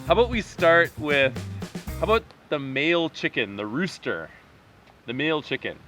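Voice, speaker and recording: A man hosting a lively group chat, playful, laughing often and imitating animal sounds. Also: fairly high pitch, moderate pace, faint music behind. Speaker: host.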